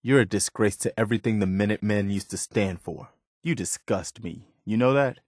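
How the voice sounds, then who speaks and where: A man's voice: fairly low pitch, a little fast, dry recording, clean studio sound, close to an everyday voice. A man playing an earnest, idealistic and loyal militia soldier in a video game, speaking plainly and conversationally. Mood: disappointed, stern. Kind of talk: video game companion dialogue, naturalistic acting.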